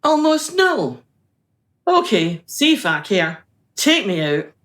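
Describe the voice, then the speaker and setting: An actress playing a bitter, defiant anti-establishment activist in a radio drama, sardonic and combative. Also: female voice, mid-range voice, big pitch swings, slow pace, fairly bright tone.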